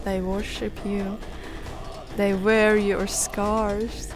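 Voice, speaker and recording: Woman acting a slow, solemn fantasy noblewoman. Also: medium pitch, little pitch movement, over music. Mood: adoring, intense. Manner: solemn, deliberate, theatrical delivery. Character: proud, fervent highborn noblewoman, devoted and vengeful. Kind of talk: fantasy audio drama acting.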